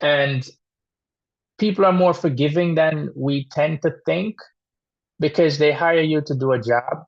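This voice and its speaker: A man's voice: a little high, measured pace, gravelly. A man from Jamaica with a Jamaican accent, speaking plainly and practically about his trade to a church group. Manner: plain-spoken and practical, with many restarts. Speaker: guest speaker, exterminator. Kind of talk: informal talk to a church group. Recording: dull, lo-fi audio.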